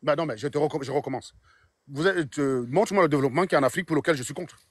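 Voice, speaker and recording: Brisk combative livestream host, fairly high voice, phone held out. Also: male voice, crowd noise. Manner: combative, mocking, insistent questioning. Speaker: live stream host. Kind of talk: casual livestream chat. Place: outdoors on a sunny street. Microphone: phone at arm's length.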